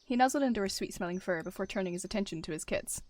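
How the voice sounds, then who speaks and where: A woman's voice: mid-range voice, expressive, racing, darkish tone, dry recording. Woman narrating a story, very dry sound. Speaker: roleplayer narrating her character. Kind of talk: third-person story narration.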